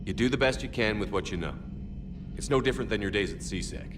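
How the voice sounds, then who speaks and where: A man's voice: lowish voice, fast, background noise, lightly acted. An actor playing a resolute human male military commander in a video game, speaking in a terse, firm manner. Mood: calm, dry.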